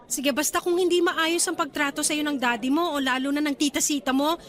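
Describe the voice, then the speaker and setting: A woman acts a young aunt in a radio drama, high in pitch, protective and affectionate toward family, speaking in an expressive dramatic style. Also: a little fast, natural voice. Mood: concerned, tender. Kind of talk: radio drama acting in Tagalog.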